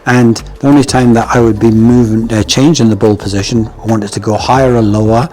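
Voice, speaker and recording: A middle-aged man with a Scottish accent talks through a golf lesson in a loose, chatty way. His voice sits at an everyday middle pitch, and he keeps checking in with a quick "yeah?". Faint music plays underneath. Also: steady tone, warm tone. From Scotland. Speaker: golf coach. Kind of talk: casual instructional talk.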